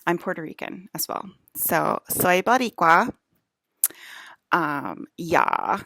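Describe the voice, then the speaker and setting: Gravelly, slow-talking woman from New Jersey. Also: lowish voice, sing-song, a bit bright, no echo, studio quality. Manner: chatty, self-interrupting, with whispered asides. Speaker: vlogger. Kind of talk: rambling casual monologue.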